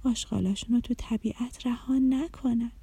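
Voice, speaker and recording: A woman voicing a kind, gentle female dream fairy in a children's story, speaking softly and tenderly. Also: on the high side, husky, dry recording. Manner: soft, hushed, tender.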